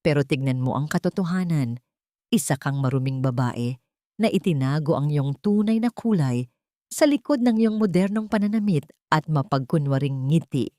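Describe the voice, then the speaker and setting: Woman voicing a haughty, scornful Filipino mother-in-law, low. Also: animated, moderate pace, warm tone, no room sound, studio quality. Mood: scornful, accusing. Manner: scornful, accusing. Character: haughty, wrathful, judgmental mother-in-law, older rich woman.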